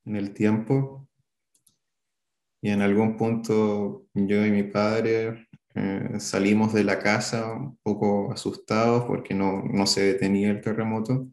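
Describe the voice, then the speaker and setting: Slow, gravelly Chilean man. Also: on the low side, little pitch movement, darkish tone, roomy sound. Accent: Chilean Spanish. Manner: halting, hesitant, many fillers and restarts. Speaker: interviewee. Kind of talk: recalling a past event in an interview.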